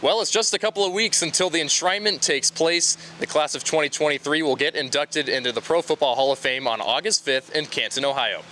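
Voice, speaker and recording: A man's voice: fairly high pitch, brisk, few pauses, sharp tone. A man working as a sports reporter, asking questions in on-location interviews. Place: outdoors at sports events. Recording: faint background noise outdoors.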